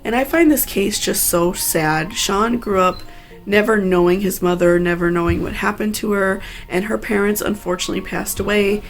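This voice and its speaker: Woman narrating true crime, slightly gravelly voice. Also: mid-range voice, moderate pace, faint music behind, rough recording. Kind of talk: scripted true crime narration with personal asides.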